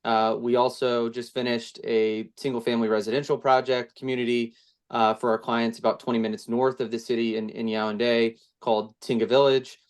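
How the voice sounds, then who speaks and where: A man's voice: mid-range voice, level tone, a little fast. A man who co-founded a housing firm, talking casually in an interview with frequent restarts and fillers. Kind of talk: interview answer.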